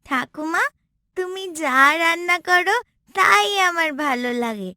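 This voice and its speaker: Casting: affectionate little granddaughter acted by a woman, high and sweet, eager, rural cartoon tale. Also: lively intonation, measured pace, bright, forceful tone, dry recording, full character voice. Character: cheerful little village girl, affectionate granddaughter. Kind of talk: cartoon voice acting.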